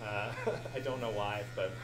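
A man's voice: fairly low pitch, steady tone, unhurried. A young man in his twenties hosting a live show, rambling and laughing often as he answers questions and thanks the crowd. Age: 20s. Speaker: podcast host. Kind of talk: live show Q&A and farewell speech. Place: live show venue with audience. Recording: echoey room, loud background noise.

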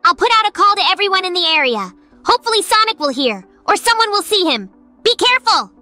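A woman plays an earnest, harried young female hedgehog in a comic dub. Her voice is high and very bright, with expressive swings. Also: fast, no room sound, soft background music, exaggerated voice. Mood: alarmed, earnest.

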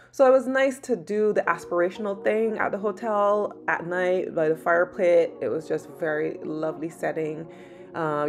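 A woman in her thirties from Barbados talks through her vlog in a low, easygoing voice, pausing often with "um." Soft background music sits faintly behind her narration. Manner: relaxed and chatty, many "um" fillers. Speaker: lifestyle vlogger. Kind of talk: casual vlog voice-over.

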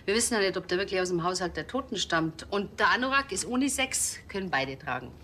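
Fast-talking Bavarian pathologist. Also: female voice, mid-range voice, lively intonation, sharp tone, a little hiss or hum. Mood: brisk, wry. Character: brisk, wry female Bavarian forensic pathologist.

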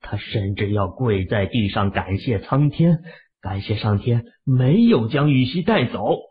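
A man with a husky voice narrating a fantasy novel as an audiobook in an emotive storytelling manner. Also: medium pitch, sing-song, unhurried. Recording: muffled lo-fi audio.

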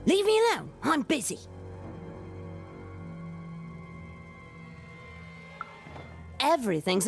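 A creaky, cryptic female alley dweller in a video game. She is aloof and ominous, like someone who trades in dark lore, with faint music underneath. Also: medium pitch. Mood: dismissive, sly. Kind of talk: video game character acting.

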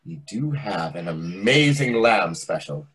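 An actor playing a pompous, needling waiter with a husky voice, theatrical and condescending in a readers theatre comedy. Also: male voice, deep voice, very animated, a little slow, a bit bright, exaggerated voice, poor audio. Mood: lecturing. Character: pompous, needling, over-familiar Greek restaurant waiter. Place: indoors on a video call. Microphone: video call microphone.

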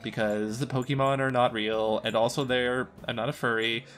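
A man's voice: mid-range voice, little pitch movement, normal speed, fairly bright tone. A young man co-hosting a casual chat in a playful, slangy way. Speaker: podcast co-host. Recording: music playing under the talk. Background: guitar music.

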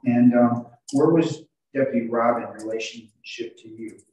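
An older male attorney questions a witness haltingly, often doubling back on his words. His voice is dark and mellow, picked up from a distance in a very echoey space. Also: medium pitch, dull audio. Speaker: defense attorney cross-examining. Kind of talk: courtroom cross-examination. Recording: very echoey, distant video call audio.

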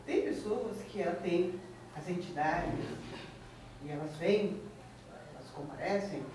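Older Brazilian woman in the audience, hesitant and rambling, voice heard from afar in a very echoey room with clear hiss. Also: fairly low pitch, a little slow, recorded from a distance. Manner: hesitant, full of "tipo assim", laughs nervously. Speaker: audience member. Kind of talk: earnest, rambling comment. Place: small room in a spiritist center.

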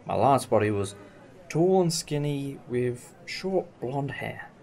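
A man's voice: medium pitch, animated, takes it slowly, darkish tone. A man playing a wry, old-fashioned time-travelling alien leader in a fan audio drama, explaining things with some hesitation. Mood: wry, explanatory. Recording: amateur recording with clear background noise.

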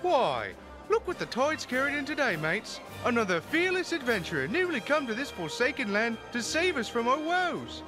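Leering tavern keeper, animated, folksy pirate talk, fantasy game fan dub, music behind. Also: male voice, very high voice, normal speed. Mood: mocking welcome. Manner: folksy, mocking pirate-style patter. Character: jovial, leering tavern keeper in a port town.

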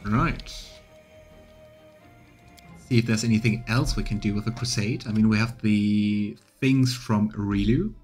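A man's voice: fairly low pitch, a little slow, long pauses, darkish tone. Man doing game commentary over music. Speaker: let's play streamer. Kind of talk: voiced gameplay commentary and dialogue reading.

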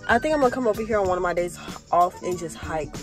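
A woman's voice: lowish voice, expressive, fast, over music. A young woman in her twenties chatting casually to a phone camera from inside a car, thinking aloud about her plans. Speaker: vlogger. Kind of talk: casual vlog chat. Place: parked car. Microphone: phone camera at arm's length.

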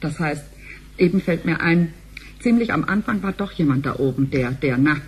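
A woman's voice: sits low, animated, normal speed, slightly rough, full character voice. A woman playing a gossipy, obliging bank clerk with a breezy, colloquial way of talking in a sci-fi radio play. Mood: flustered.

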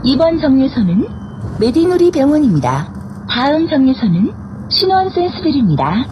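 A woman reading scripted transit stop announcements in a formal, clearly enunciated way. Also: high-pitched, big pitch swings, moderate pace. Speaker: bus announcer. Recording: clear vehicle noise throughout. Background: bus ambience and vehicle noise.

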